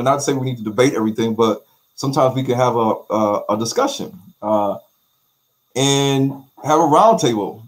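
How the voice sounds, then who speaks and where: A man's voice: medium pitch, poor audio. A middle-aged man as an interview guest on a video call, talking emphatically with repeated words and rhetorical questions. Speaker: documentary maker, guest. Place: bright room with light walls.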